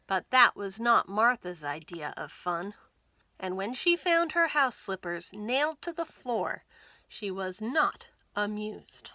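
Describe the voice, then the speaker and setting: A woman in her thirties reading a picture book aloud to children, with careful, expressive storytelling. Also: medium pitch, no room sound. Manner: slow, careful, expressive delivery for young children. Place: small indoor room lined with bookshelves.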